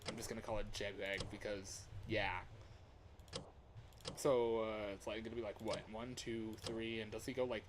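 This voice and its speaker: A man doing casual live gameplay commentary as a streamer, excitable and reactive, often muttering to himself as he plays. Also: lowish voice, moderate pace, background noise. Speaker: livestreamer. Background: music.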